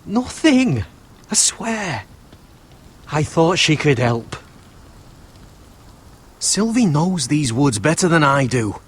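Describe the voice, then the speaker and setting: An actor playing an anxious young wildling brother in a game drama, earnest, pleading and husky. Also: male voice, fairly high pitch, sing-song, slow, pauses often, exaggerated voice. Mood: pleading, guilty. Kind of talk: video game drama acting.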